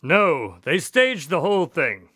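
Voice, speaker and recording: An earnest doctor and detective's companion played by a male actor in a radio drama. His voice is fairly high and animated as he explains and recounts, and the sound is close and dry. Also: slow, no room sound, heavily acted. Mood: explanatory.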